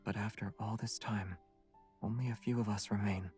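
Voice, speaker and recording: An actor playing a guarded, secretive young starship captain, speaking softly and in a hush. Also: male voice, on the low side, fairly even intonation, normal speed, faint music behind, lightly acted. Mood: calm.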